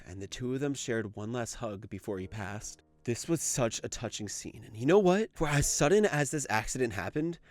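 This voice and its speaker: A man's voice: mid-range voice, darkish tone. A young man giving enthusiastic video-essay commentary as a fan, casual and gushing, with some swearing.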